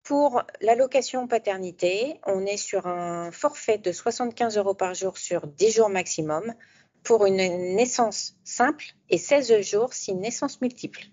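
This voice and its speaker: A woman speaks as an expert, explaining insurance details with plenty of hesitations. Her voice is mellow and warm. The recording sounds dull and lo-fi. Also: mid-range voice, measured pace. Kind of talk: informational interview answers.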